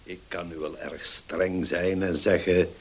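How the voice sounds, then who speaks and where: An actor playing an older, well-off father in a radio play, indulgent and gruffly dignified, weighing his words. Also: deep voice, flat delivery, unhurried, a bit bright, heavily acted. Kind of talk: Dutch radio play acting.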